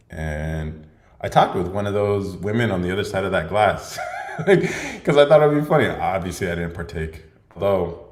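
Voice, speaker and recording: A man's voice: low-pitched, darkish tone. A young man hosting a livestream Q&A podcast, chatting casually and laughing often as he jokes. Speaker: podcast host. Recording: echoey room.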